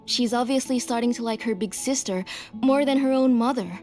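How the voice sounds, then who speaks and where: A woman playing a gentle, middle-aged mother weighed down by guilt, speaking earnestly in a video game. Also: a little high, normal speed, close to an everyday voice. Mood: fond, wistful. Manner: gentle, earnest, sorrowful, sighing. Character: gentle guilt-ridden mother, a former master smith. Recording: music behind.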